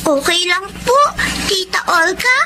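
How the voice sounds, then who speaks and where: A woman's voice: very high voice, lively intonation, measured pace. An actress playing a polite but stubborn little girl in a Filipino radio drama. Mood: polite, insistent. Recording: very noisy background.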